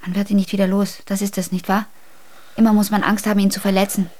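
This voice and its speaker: A woman's voice: medium pitch, flat delivery, fast talker, a little mellow, lightly acted. An actress as guarded, prickly young woman. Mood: irritated. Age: young adult. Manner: terse, defensive. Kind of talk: naturalistic radio drama acting.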